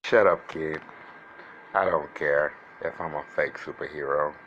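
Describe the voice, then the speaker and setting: A menacing male supervillain played by a man speaks in an extremely deep voice. He is commanding and threatening, set on seizing power in a homemade superhero film, with music playing underneath. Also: steady tone, a little slow, fairly bright tone, muffled recording, a little hiss or hum. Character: menacing male fake-hero villain bent on taking over a town. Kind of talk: amateur superhero film acting.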